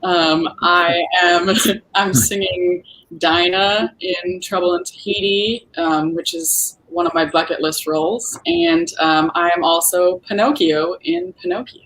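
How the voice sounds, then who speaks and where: Slow, creaky, swooping woman's voice. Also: lowish voice, expressive, fairly bright tone, poor audio. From Chicago, United States. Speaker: opera singer, mezzo. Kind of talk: casual self-introduction chat.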